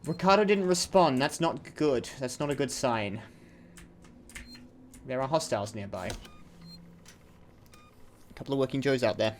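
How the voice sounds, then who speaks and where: Young man, rambling, chatty, self-interrupting, mid-pitched, faint background hum. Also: moderate pace, long pauses. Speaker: let's play host. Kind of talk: casual gameplay commentary.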